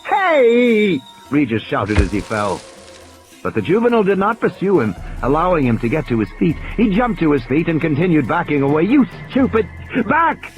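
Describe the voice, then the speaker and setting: Highly animated male audiobook narrator. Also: brisk, low-quality recording. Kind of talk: dramatic scripted narration. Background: music.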